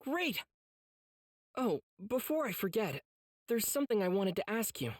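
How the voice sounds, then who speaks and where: A woman's voice: medium pitch, very animated, measured pace, no room sound, studio quality. A woman playing an earnest, kind-hearted teenage boy demon slayer in an anime game, polite and eager. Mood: eager, curious.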